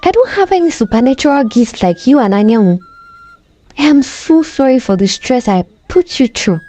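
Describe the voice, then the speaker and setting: A woman plays a young storybook prince, humble and plain-spoken. The voice is mellow and fairly high for the part. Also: sing-song, moderate pace. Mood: apologetic, dejected. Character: young prince, humble, apologetic friend on a quest.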